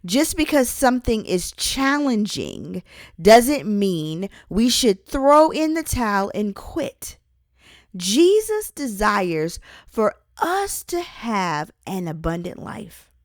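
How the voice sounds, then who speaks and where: Slow, deliberate woman reading inspirational teaching. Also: lowish voice, dry recording, studio quality. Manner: deliberate, emphatic phrasing with pauses. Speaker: author and host reading own book. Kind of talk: scripted inspirational audiobook-style reading with Bible teaching.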